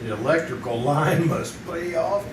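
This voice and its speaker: A man's voice: a little high, expressive, a little slow. A man playing a brash, loyal crime photographer in live old-time radio drama, with slangy, clipped tough-guy talk. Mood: grim, matter-of-fact. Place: theater stage, live performance. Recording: roomy, distant stage recording with hiss.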